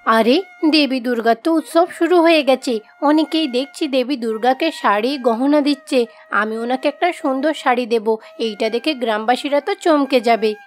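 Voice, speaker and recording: Woman playing a haughty, high-voiced rich village woman. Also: moderate pace, close to an everyday voice. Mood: smug. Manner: haughty, demanding. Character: rich, arrogant village woman, boastful and scornful. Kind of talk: Bengali fairy-tale cartoon dubbing.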